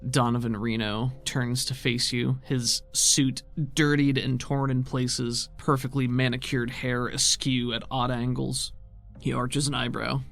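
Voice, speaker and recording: A man's voice: medium pitch, little pitch movement, measured pace, gravelly, a bit bright, faint music behind. A man narrating scenes as the game master of a tabletop roleplaying game.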